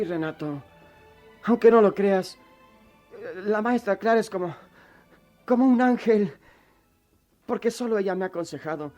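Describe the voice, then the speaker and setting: Radio drama schoolboy: poor, sincere, high voice, emotive playing over music. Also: male voice, a little mellow. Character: earnest, grateful poor schoolboy, friend and big brother.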